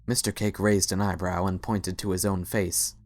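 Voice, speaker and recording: A man's voice: low voice, little pitch movement, moderate pace. A man narrating a scripted story as a dramatic reading.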